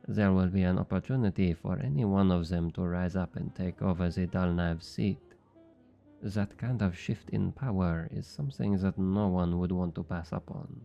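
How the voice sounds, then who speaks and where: An actor playing a smug, flirty thief with a French accent, teasing and coaxing in an intimate ASMR roleplay. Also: male voice, low-pitched, vocal fry, mellow. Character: obnoxiously flirty, smug male thief and information broker.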